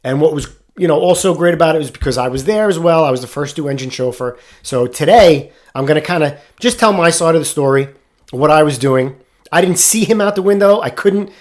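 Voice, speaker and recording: A man's voice: a little high, animated, brisk, a little mellow. Stuffed-up man hosting a podcast. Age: middle-aged. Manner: rambling, candid, occasional swearing. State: stuffed up from sinus infection. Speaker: podcast host, firefighter. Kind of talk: casual solo podcast talk.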